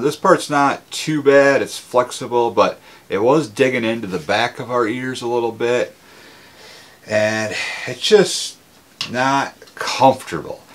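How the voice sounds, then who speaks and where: Deep-voiced man reviewing gear. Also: fairly bright tone. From Minnesota, United States. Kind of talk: casual product review to camera.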